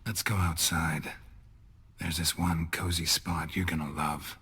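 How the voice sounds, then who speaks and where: An actor with an American accent playing a gruff, laconic monster hunter in a video game, dry-witted and affectionate with his lover, in a gravelly voice. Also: level tone, measured pace, sharp tone, full character voice. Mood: warm, understated. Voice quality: gravelly, low. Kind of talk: video game cutscene acting.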